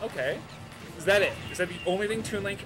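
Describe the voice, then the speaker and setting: Joking guy co-commentating smash, high lively voice, music playing. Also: quick pace. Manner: goofy, teasing, asks questions. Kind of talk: casual joking color commentary.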